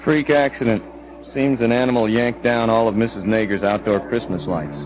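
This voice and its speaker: An actor playing a gruff, dismissive policeman with a mocking, brusque manner in a storybook dramatization. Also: medium pitch, fairly even intonation, normal speed, over music, lightly acted, poor audio. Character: gruff, dismissive, sarcastic small-town policeman. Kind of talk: storybook record dramatization acting.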